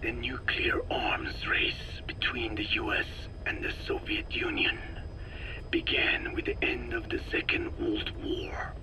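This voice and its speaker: Whispering, slow old Navajo scientist. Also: male voice, fairly low pitch, flat delivery, low-quality recording. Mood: sorrowful, proud. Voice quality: breathy, whispery rasp. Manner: slow, measured, halting delivery in short phrases. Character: elderly Navajo male scientist, solemn, sorrowful, proud. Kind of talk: dramatic video game dialogue.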